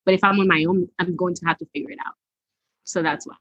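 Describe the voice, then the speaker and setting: A soft-spoken woman co-hosting a podcast, talking in a laid-back, casual way with a breathy voice. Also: lowish voice, steady tone, fast, no echo, lo-fi sound. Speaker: podcast co-host. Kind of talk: casual chat.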